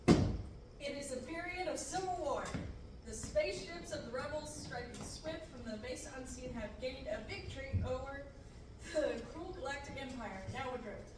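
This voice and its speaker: A stately stage chorus, read by a young woman with a high voice, declaiming verse. The sound is distant and echoey, like a camera across a classroom, with steady hiss. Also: natural voice. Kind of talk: reading a verse prologue. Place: large classroom. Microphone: far camera. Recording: echoey room from far off, hiss.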